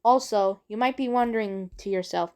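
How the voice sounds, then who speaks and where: A woman talking casually and unscripted as a video creator, in a halting, rambling way with repeated phrases. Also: medium pitch, darkish tone, smooth. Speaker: video creator introducing own work. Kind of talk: casual unscripted vlog talk.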